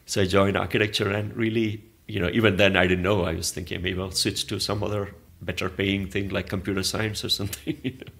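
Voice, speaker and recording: A man's voice: low-pitched, level tone, brisk, vocal fry, few pauses, fairly bright tone. A man, a Malayalam speaker, answering interview questions in a thoughtful, meandering way with frequent restarts. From southern India. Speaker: interview guest, arts organizer. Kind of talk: reflective conversational interview.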